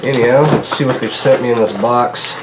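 A man in his thirties with a stuffed-up nose from a cold, hosting a casual gun-gear vlog from his kitchen table, chatty and relaxed with many fillers. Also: medium pitch, a little fast, very noisy. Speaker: gun channel host. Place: home kitchen, indoors.

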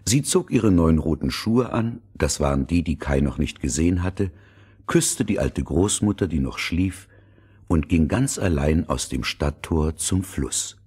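A man's voice: a bass voice, expressive, unhurried, a little mellow, breathy edge. A man narrating a scripted fairy tale as a storyteller. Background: music.

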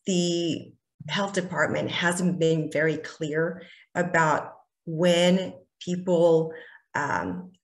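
A woman's voice: deep voice, drawn-out words. Earnest woman senator on a video call. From California. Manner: earnest, many fillers and restarts. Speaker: state senator, interview guest. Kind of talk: candid answers in a virtual town hall. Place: home office, indoors.

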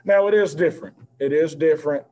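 A man in his thirties, a head basketball coach, answering postgame questions on a video call in an earnest, measured way with many fillers. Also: fairly high pitch, warm tone. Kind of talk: postgame press conference answers. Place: indoor press backdrop room. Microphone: video call, seated at desk with mask.

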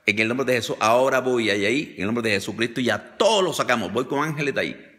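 Bright-voiced older man praying fervently. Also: mid-range voice, fast talker. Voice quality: repetitive, commanding incantation. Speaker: deliverance preacher. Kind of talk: fervent prayer of deliverance. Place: indoors, on a video call. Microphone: phone video call.

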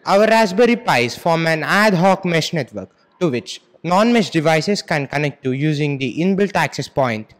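A man's voice: on the high side, animated, normal speed, few pauses. A teenage boy with an Indian accent giving a formal, rehearsed school project presentation. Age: teens, about 15. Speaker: student presenter. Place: school computer lab, indoors. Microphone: handheld microphone.

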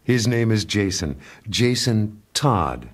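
Stern vigilante hero, male actor, low pitch, grave and controlled, music underneath. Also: sing-song, slow, heavily acted. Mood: polite, reserved. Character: stern, brooding male masked vigilante in adulthood. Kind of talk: motion comic dialogue and inner narration. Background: background music.

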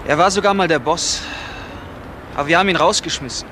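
An actor playing a defiant, evasive gang member who answers curtly in a German crime radio play. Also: male voice, on the high side, fairly quick, bright, forceful tone. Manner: curt, defiant. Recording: noisy old radio drama recording.

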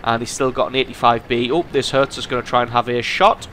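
A man with a cold hosting a gaming channel, commenting on a tank game replay in an amused, lively way. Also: mid-range voice, quick pace, slight background noise. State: has a cold.

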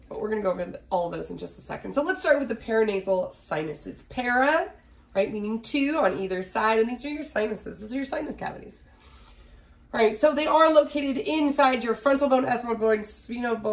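A woman in her thirties giving a casual recorded biology lecture from a small home office, a little nasal from a cold, often asking "right?". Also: medium pitch. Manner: chatty, asks "right?" often, fillers. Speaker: biology instructor.

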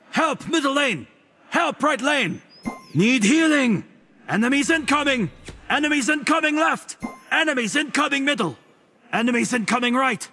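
High, haughty theatrical god. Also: male voice, bright, forceful tone, heavily acted. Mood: urgent commanding. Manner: pompous, theatrical, drawn-out delivery. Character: haughty male god sage, theatrical and smugly witty. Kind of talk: video game character voice lines.

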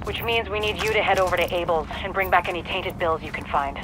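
An actress playing a brisk, businesslike woman giving an agent a mission briefing in a video game. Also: on the low side, fairly even intonation, background noise, lightly acted. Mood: urgent, disdainful.

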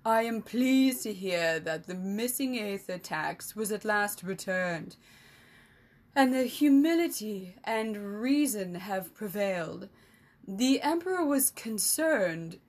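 A woman voicing a smug male imperial emissary who talks slow and oily smooth. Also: mid-range voice, animated. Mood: mocking.

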